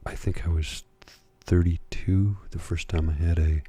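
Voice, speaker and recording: A middle-aged man speaks in a mellow, very low voice, heavy with breath and a slight hoarseness. He talks slowly and reflectively, with plenty of fillers. His soft-spoken ASMR delivery sits close on near-field microphones. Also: steady tone. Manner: slow, reflective, rambling with fillers. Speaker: ASMR creator. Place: home at night.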